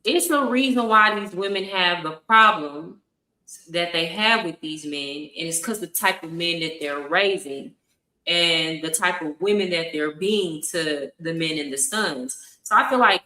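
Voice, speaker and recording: Woman, blunt emphatic talker, forceful bright tone, echoey room. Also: fairly low pitch, unhurried. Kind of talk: casual opinion chat.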